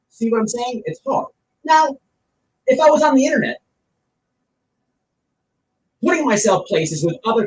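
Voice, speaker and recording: A man giving blunt, casual, opinionated commentary, emphatic and repeating his phrases as he explains with examples. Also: high voice. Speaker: online streamer giving commentary. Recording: roomy, distant mic with faint noise.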